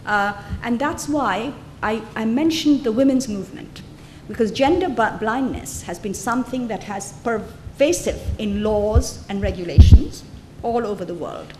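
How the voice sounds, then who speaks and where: A woman's voice: fairly high pitch, background noise. Woman lecturer in an echoey hall. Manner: measured, explanatory. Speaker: guest lecturer. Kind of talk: answering audience questions.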